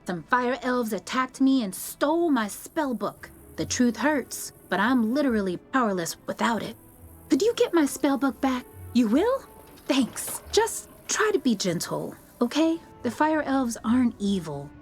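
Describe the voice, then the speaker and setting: An actress voicing a friendly, earnest young female wizard in a video game, expressive and chatty. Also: fairly high pitch, a little slow. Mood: earnest, pleading. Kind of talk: video game character acting. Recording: game music behind the voice.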